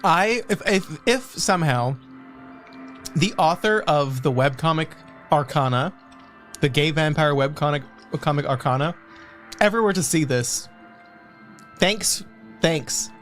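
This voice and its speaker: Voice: mid-range voice, expressive, unhurried, long pauses, fairly bright tone, soft background music. A livestream co-host chatting casually, rambling and often repeating phrases.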